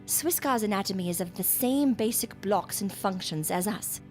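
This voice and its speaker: A woman's voice: medium pitch, expressive, normal speed, soft background music, close to an everyday voice. An actress playing an earnest, exacting woman scientist in a gothic audio drama, speaking formally and precisely. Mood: intent, explaining. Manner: formal, precise, lecturing diction.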